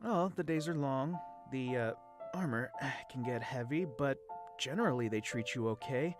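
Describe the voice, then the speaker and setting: A man's voice: mid-range voice, moderate pace, over music, close to an everyday voice. A man voicing a young, well-spoken, kindly canine guard, polite and a little hesitant, in an audio story. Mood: easygoing. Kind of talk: audio story character dialogue.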